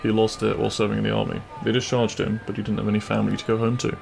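A man playing a cheeky, kind-hearted bandit prince in an intimate audio roleplay, with a gravelly voice and a teasing, reassuring manner. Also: fairly low pitch, flat delivery, rapid-fire, darkish tone, soft background music. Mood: earnest.

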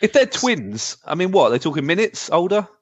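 Animated man, playful and joking. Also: high voice, normal speed, dull audio. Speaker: podcast co-host. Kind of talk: casual chat.

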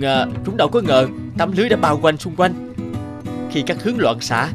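A man's voice: on the high side, close to an everyday voice. A man playing a clever, confident young peasant in a cartoon. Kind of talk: cartoon dub acting.

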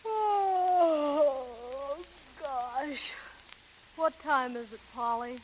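A woman's voice: sits high, extremely slow, a crowd in the background, rough recording. A woman playing a broke, wisecracking young showgirl, slangy and sardonic, in a 1930s radio comedy. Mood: wry, weary. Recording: hissy 1930s radio recording.

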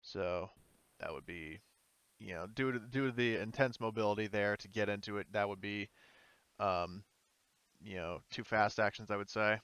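A man running an online tabletop game as game master, casual and halting as he thinks aloud. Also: lowish voice, fairly bright tone, smooth, no echo. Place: indoors, online voice call.

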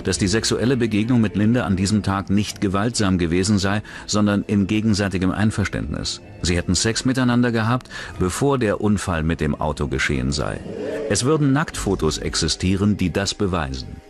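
A man narrating a scripted true-crime documentary in a measured, dramatic manner. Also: sits low, expressive.

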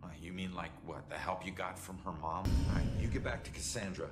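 An actor playing a gruff, world-weary ghost detective in a video game, terse and muttering. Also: male voice, sits low, a little fast, over loud music, lightly acted. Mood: wry. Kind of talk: dramatic video game acting.

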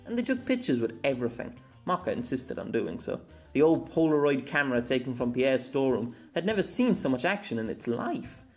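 A man's voice: medium pitch, big pitch swings, brisk, few pauses, a little mellow. Man narrating a story over music. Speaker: amateur audiobook narrator.

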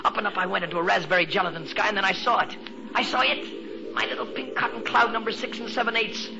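An American man playing an excitable teenage boy in a 1940s radio comedy, telling his story with breathless mock drama. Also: high voice, quick pace, vocal fry, over music. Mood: melodramatic. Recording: noisy, dull 1940s radio recording.

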